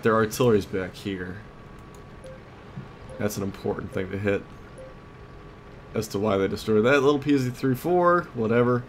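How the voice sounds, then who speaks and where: A man giving casual let's play commentary on a video game, thinking aloud in short tactical remarks. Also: on the low side. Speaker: gamer, host of a let's play series. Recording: clear background game noise. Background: vehicle and battle sounds of a tank game.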